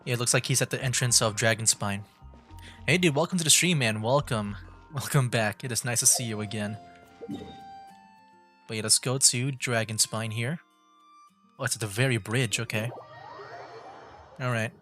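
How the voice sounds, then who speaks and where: A man with a medium-pitched voice chats casually while playing a game on stream. His delivery is laid-back and conversational, with faint music playing behind him. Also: moderate pace, long pauses, fairly bright tone. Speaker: livestreamer playing a video game. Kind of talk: casual chat, game commentary.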